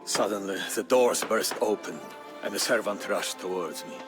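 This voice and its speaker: A man narrating a historical tale as scripted storytelling, in a grave, measured, theatrical manner. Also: deep voice. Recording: music behind the voice. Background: music.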